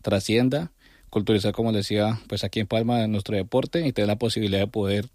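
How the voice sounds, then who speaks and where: A man's voice: on the low side, steady tone, quick pace, darkish tone, no echo, studio quality. A middle-aged man with a Colombian accent, giving conversational answers as a club director in an interview. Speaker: sports club director and coach, interview guest.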